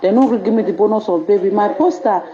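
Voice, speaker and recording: A woman's voice: medium pitch, fast talker, dark tone, highs cut off. A Nigerian woman calling in to a live show, pleading and complaining as she lays out her troubles. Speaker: caller.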